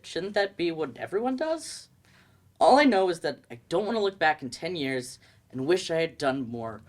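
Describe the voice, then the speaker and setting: Earnest awkward young student, lively pitch woman acting. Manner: direct, literal. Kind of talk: staged play reading, monologue.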